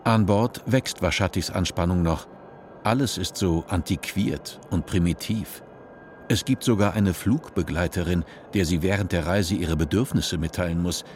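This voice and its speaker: A man narrating a radio play in a calm, measured storytelling manner. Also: deep voice, slight background noise. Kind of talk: scripted narration.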